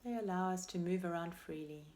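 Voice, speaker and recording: A woman leading a guided meditation as a teacher, speaking in a gentle, unhurried and soothing way with pauses between phrases. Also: fairly low pitch. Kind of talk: guided meditation, body scan.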